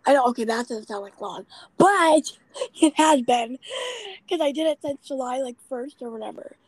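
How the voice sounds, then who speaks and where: A young woman in her late teens hosting a podcast, with a raspy voice, chatting in a rambling, self-deprecating way full of fillers. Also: high voice, normal speed, no echo, rough recording. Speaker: podcast host. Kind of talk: casual chat.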